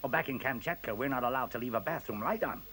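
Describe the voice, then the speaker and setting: A man's voice: mid-range voice, fast, pushed and bright, close to an everyday voice. An actor in a radio play voicing a conceited male professor with a blunt, dry and precise manner. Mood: wry. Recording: faint hiss on old radio recording.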